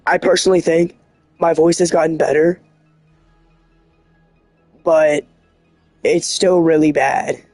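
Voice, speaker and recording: A man with a hoarse, scratchy voice, rambling earnestly and excitably as a livestreamer. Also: on the high side, takes it slowly, long pauses, no room sound, soft background music. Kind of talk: casual livestream monologue.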